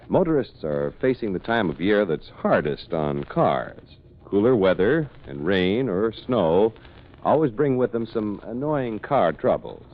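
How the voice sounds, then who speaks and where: Animated American male vintage radio announcer. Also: on the low side. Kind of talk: 1940s radio announcing, scripted. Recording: muffled old radio broadcast recording.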